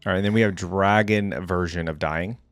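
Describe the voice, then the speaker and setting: A man's voice: sits low, steady tone, moderate pace, no room sound, soft background music. Man casually hosting a reaction video, dry sound. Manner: conversational, addresses viewers directly as guys and gang. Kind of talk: casual reaction commentary to viewers.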